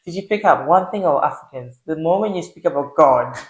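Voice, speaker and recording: Low-voiced woman vlogger. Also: expressive, echoey room, low-quality recording. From Zimbabwe. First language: Shona. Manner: chatty, laughs easily, quick asides. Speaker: vlogger host. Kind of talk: casual reaction chat.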